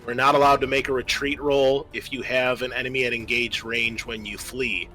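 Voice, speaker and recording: A man acts as game master for a tabletop roleplaying game, moving between read-out scene narration and halting rules explanations. His voice is bright and forward at a middle pitch, with music playing underneath. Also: level tone, poor audio.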